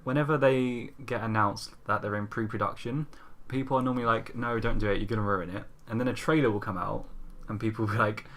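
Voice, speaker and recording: A man's voice: on the low side, steady tone, fast talker, fairly bright tone. A man hosting a podcast, chatting casually about films and games in an informal, sweary way. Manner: informal, frequently chuckling, sweary. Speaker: podcast host. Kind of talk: casual film and game chat.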